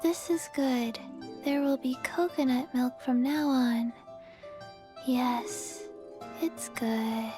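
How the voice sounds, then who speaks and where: A woman voicing a little zombie girl in an anime game, childlike, in halting, simple short phrases. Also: high-pitched. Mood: placid, craving. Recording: loud background music.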